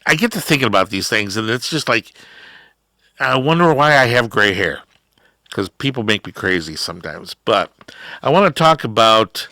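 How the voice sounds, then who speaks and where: A middle-aged man hosting a talk show, delivering a rambling opinion monologue with drawn-out words and emphatic rises. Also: lowish voice, big pitch swings, pushed and bright, no echo. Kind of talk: ranting political talk.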